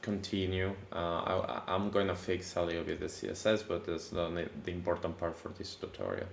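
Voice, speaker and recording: A man with a very low voice, thickened by allergies, talks through a coding tutorial in a relaxed, chatty way, full of little fillers and restarts. He sounds like he is in an echoey room, with a faint hiss of noise behind him. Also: fairly quick, gravelly. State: voice deepened by allergy. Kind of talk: casual screen-recorded coding tutorial.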